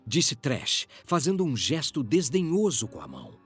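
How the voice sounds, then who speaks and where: A Brazilian man narrates a fantasy audio drama. His delivery is dramatic and scripted, with a widely varied pitch in a mid register. Music plays clearly behind him. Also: huge pitch swings, moderate pace.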